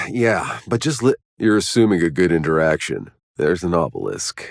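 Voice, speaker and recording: A battle-worn sci-fi game soldier, deep raspy voice, gruff and wry. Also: male voice, no echo, clean studio sound, full character voice. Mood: uneasy, hesitant. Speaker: video game protagonist. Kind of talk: video game voice lines, dramatic acting.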